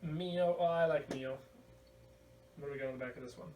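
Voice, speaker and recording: A man with a fairly high voice talks casually to the camera as a podcast host, wandering from item to item. His delivery is irreverent and crude. He sounds set back from the mic in a very echoey room, with faint noise behind him. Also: brisk, darkish tone. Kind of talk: casual rambling show-and-tell to camera. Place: indoor room at home. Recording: roomy, distant mic, faint background noise.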